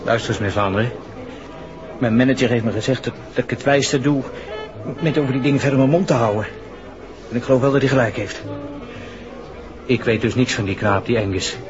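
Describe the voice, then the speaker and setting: An actor playing a nervy, evasive male stage performer with a halting, guarded manner in a 1960s Dutch radio drama. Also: mid-range voice, fairly even intonation, natural voice. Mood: guarded, stubborn. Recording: muffled old radio recording over music.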